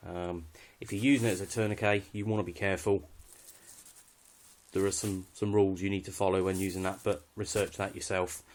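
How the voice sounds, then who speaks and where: Low-pitched British man, casual gear walkthrough. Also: little pitch movement, brisk. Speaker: hobbyist presenter showing radio kit.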